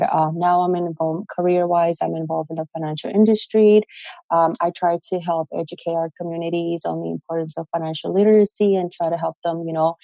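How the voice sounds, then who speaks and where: A woman's voice: lowish voice, warm tone, no room sound, highs cut off. A woman, a guest telling her story on a podcast interview, earnest and plain-spoken with many fillers. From El Salvador. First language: Spanish. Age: 20s. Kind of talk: casual interview.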